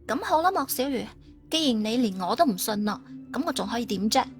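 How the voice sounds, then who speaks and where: An actress plays a stern, determined female agent. Her voice sits fairly high, delivering audiobook dialogue over soft music. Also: lively intonation, fairly quick. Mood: grim, reproachful.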